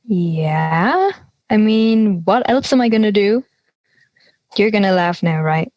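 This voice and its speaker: A woman's voice: medium pitch, animated, slow, no echo, muffled recording. A young woman playing an anxious, exasperated teenage schoolgirl in conversational audio drama dialogue. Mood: anxious, sheepish. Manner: exasperated, worried. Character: anxious schoolgirl dreading a class presentation.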